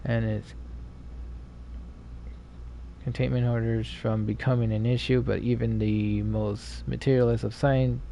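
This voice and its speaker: A man livestreaming a video game in a casual, low-key way, muttering to himself and reading text aloud. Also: flat delivery, moderate pace, vocal fry, mellow. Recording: dull, slightly noisy stream audio.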